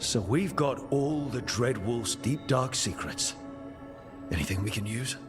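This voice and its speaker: A man's voice: mid-range voice, expressive, measured pace, close to an everyday voice. A man playing a practical, empathetic leader of adventurers in a video game cutscene, speaking conversationally. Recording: music clearly behind the voice.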